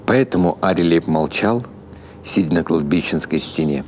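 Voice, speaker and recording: A man giving an expressive, measured audiobook narration of a literary story. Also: low voice, slight background noise. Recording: music behind the voice.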